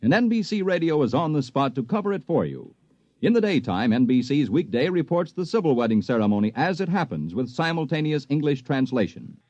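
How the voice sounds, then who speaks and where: An American male network announcer reads scripted promos in fifties radio style. His intonation is animated and wide-ranging. The recording is dry and dull, like an old broadcast. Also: on the high side, fairly quick, no echo. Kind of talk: 1950s scripted promo reading.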